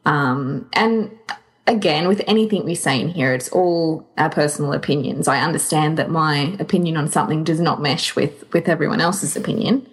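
A woman's voice: low voice, normal speed. A woman co-hosting a podcast in a casual, conversational style. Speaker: podcast co-host.

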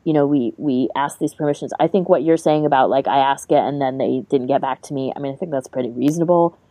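A woman's voice: a bass voice, fast talker, warm tone, dry recording, rough recording. A woman co-hosting a podcast in a casual discussion, thinking aloud in an informal way. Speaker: podcast co-host.

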